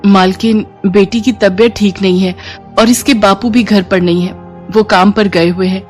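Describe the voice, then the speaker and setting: A woman's voice: mid-range voice, fairly even intonation, moderate pace, darkish tone, faint music behind, lightly acted. A woman as a humble, meek housemaid mother. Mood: meek, pleading. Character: humble, anxious housemaid and caring young mother.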